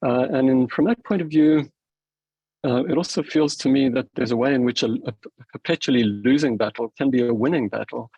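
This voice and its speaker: A middle-aged man from South Africa, moderating a panel and closing it with his own halting remarks full of ums and restarts. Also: medium pitch, creaky, darkish tone, slightly rough, no room sound, rough recording. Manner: hesitant, many fillers and restarts.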